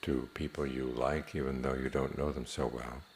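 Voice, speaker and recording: A man teaching a guided meditation in a measured, plain-spoken manner, leaving long pauses between phrases. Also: extremely low pitch, little pitch movement, a little hiss or hum.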